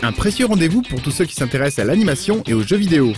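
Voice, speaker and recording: A man's voice: on the high side, sing-song, very fast. A man presenting animation news as an enthusiastic, scripted voice-over. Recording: voice-over with loud music bed.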